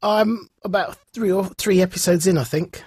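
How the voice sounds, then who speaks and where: A man co-hosting a podcast, talking casually and haltingly, repeating words for emphasis. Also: high-pitched, a little mellow, slightly rough, no room sound. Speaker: podcast co-host. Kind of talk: casual chat.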